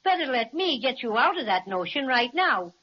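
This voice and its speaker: Brave bumbling stuffed bear, folksy, high voice, muffled old radio sound. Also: very animated, moderate pace, slight background noise. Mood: boastful. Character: plucky talking stuffed toy bear with button eyes. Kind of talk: 1930s radio serial acting. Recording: dull lo-fi 1930s radio transcription.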